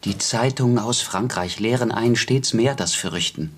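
An actor playing a sober, gentle gentleman companion in a radio drama, speaking in a measured, thoughtful way. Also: mid-range voice, lightly acted. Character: sober, gentle gentleman attending an old philosopher. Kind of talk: German radio drama acting. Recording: clear ambient noise, insects.

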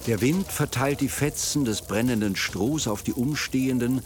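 A man reading literary narration for a radio play in a measured, formal way. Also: mid-range voice, a little hiss or hum.

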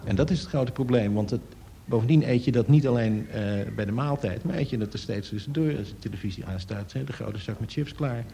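A man's voice: medium pitch, fairly quick, creaky, few pauses, warm tone, slight background noise. A man explaining conversationally as an expert guest, often restarting his words hesitantly.

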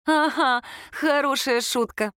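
A woman reader voicing a flustered, feisty young woman in audiobook dialogue, emotional and excitable. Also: sits high, slow pace, no echo, clean studio sound, voice pushed up. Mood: indignant. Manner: excitable, exclaiming.

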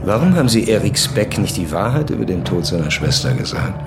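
Low-voiced male detective. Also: big pitch swings, brisk, over loud music. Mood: probing. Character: probing, composed male police detective. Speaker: police commissaire. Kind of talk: crime audio drama dialogue.

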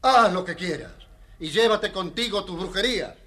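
An actor playing a cruel prison jailer, menacing and mocking, on a dramatized storybook record. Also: male voice, sits high, lively intonation, normal speed, bright, forceful tone, heavily acted. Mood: scornful, impatient.

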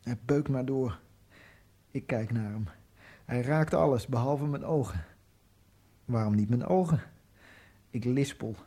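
A man narrating a radio drama in the first person, in a halting, fragmented inner monologue with a gravelly voice. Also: mid-range voice, mellow. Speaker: narrator and main character. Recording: radio drama with faint music.

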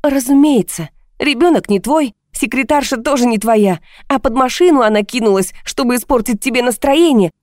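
A woman's voice: on the low side, normal speed, warm tone, no echo, studio quality, lightly acted. A woman voicing a bitter, mocking wife in a failing marriage, with a cutting, sarcastic manner. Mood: bitter sarcasm.

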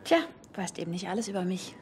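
A woman's voice: on the low side, fast, darkish tone, a little hiss or hum, lightly acted. An actress playing a cheeky, curious young German woman in a naturalistic radio drama. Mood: curious, teasing.

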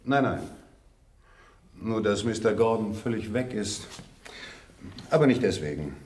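Police sergeant, low voice, polite, chatty, folksy, roomy off-mic radio drama sound. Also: male voice, lively intonation, unhurried, a little mellow, recorded from a distance, a little hiss or hum. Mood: polite, unhurried. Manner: polite, matter-of-fact, folksy. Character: unsuspecting, chatty male village-call police sergeant. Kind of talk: German radio crime play acting.

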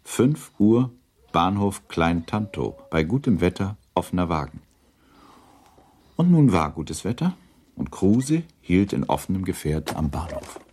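Low-pitched male radio play narrator. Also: sing-song, measured pace, a little mellow, a little hiss or hum.

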